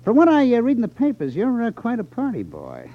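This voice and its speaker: An actor playing a tough, sly gambling club boss in a 1950s radio crime drama, with a taunting, menacing manner. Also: male voice, extremely high pitch, wildly swooping pitch, brisk, full character voice. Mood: sly, insinuating. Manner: sneering, taunting, hesitates slyly for effect. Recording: dull lo-fi 1950s radio recording.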